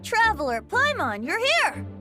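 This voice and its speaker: A sweetly teasing sorceress from a video game. Her high voice glides widely in pitch, coy and knowing. Soft music sits underneath. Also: huge pitch swings, a little slow, full character voice. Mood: delighted. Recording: faint music behind.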